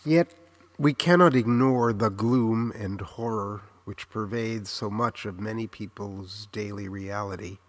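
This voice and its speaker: A man in his sixties with an American accent, reading a reflective scripted memoir in a measured, literary, earnest way. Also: lowish voice. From Los Angeles, United States. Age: 60s. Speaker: memoir narrator.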